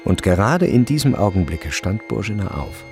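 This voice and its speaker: A male narrator of a literary radio play. His voice is low and gravelly at the edges, and he reads gravely and with measure over soft music. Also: sing-song, moderate pace.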